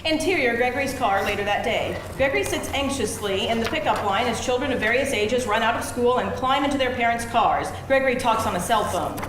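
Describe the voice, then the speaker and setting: A woman's voice: fairly high pitch, expressive, fairly quick, hardly any pauses. A woman reading stage directions as scripted narration at a live screenplay reading. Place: live event room before an audience. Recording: very echoey room, faint noise.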